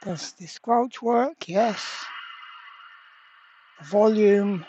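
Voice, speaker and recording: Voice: mid-range voice, extremely slow, mellow, muffled recording, slight background noise. A repair vlogger giving casual, hands-on narration, thinking aloud in a blunt, exasperated way. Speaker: host of a radio repair channel.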